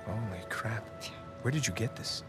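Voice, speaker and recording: A man's voice: fairly low pitch, expressive, moderate pace, lightly acted. A man playing an easygoing, wry middle-aged American adventurer in a naturalistic video game cutscene, bantering and sarcastic. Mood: surprised. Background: music.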